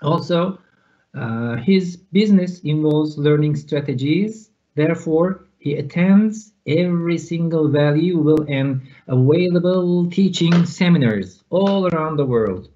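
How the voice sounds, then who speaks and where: A man hosts an online conference over a video call, his voice sitting a little high. He reads guest introductions slowly and hesitantly, with plenty of fillers. The audio is dull and lo-fi. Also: dark tone. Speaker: conference host. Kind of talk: hosting an online teacher conference.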